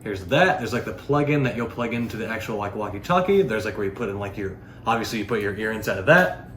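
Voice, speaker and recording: Low-voiced man in an echoey room. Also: expressive, fast talker, a bit bright. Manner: rambling, informal, heavy filler "like". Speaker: product reviewer. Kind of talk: casual unscripted product demonstration. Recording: echoey room with faint background noise.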